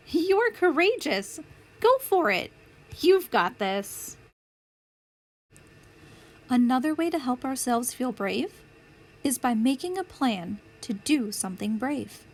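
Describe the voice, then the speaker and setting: A woman reading a picture book aloud as a storytime host, with deliberate, clear phrasing for young children. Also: high-pitched, lively intonation. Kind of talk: children's read-aloud narration.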